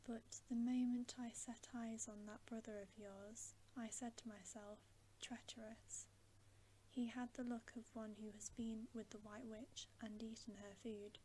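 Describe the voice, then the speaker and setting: A woman's voice: a little high, slight background noise, close to an everyday voice, rough recording. Woman whispering as a grave, wary male storybook beaver. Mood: grave, distrustful. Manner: hushed, whispered, deliberate warnings. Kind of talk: whispered ASMR bedtime story reading.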